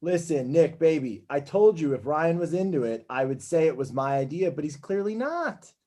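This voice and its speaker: A man's voice: on the high side, animated, moderate pace, warm tone, slight background noise, natural voice. A man playing a breezy, slick TV network boss, glib and chummy, in a comedy table read.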